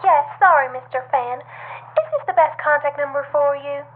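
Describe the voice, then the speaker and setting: An actress playing a polite, stonewalling corporate receptionist in an audio drama, formal and robotically courteous, on a tinny telephone line. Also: female voice, sits high, moderate pace, a little hiss or hum, close to an everyday voice. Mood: polite, evasive. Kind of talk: audio drama phone dialogue.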